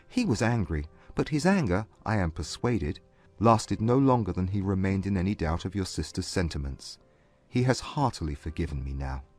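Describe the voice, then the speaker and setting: Man acting a proud gentleman humbled by love, low pitch, very varied intonation, formal period speech, audiobook drama. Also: big pitch swings, faint music behind. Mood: candid confession. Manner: formal, measured period English. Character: proud, humbled gentleman suitor, earnest and ardent.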